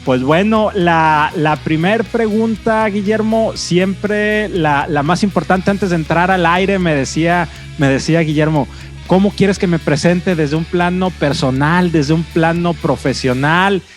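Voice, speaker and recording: A man's voice: high voice, a little slow, soft background music. A man hosting an interview podcast, building his points step by step in a rhetorical way. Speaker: podcast host.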